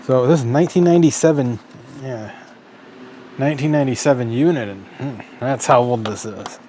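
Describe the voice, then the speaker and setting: A man's voice: mid-range voice, moderate pace, warm tone. American man muttering over noisy audio. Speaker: host of an electronics teardown video. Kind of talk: casual unscripted commentary while working. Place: indoors at a workbench. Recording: clearly noisy home recording, sometimes off-mic.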